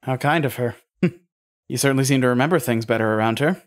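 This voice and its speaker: Man acting a dry sardonic interrogator, mid-pitched, deliberate, audio drama. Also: natural voice. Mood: cool, mocking. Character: cool, probing, sardonic male interrogator.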